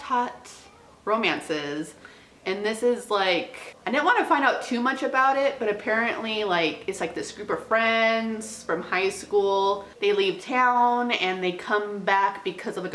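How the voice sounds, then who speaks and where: A woman's voice: mid-range voice, a bit bright. A woman book vlogger chatting casually to the camera in an informal, slangy, hedging way. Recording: roomy indoor echo.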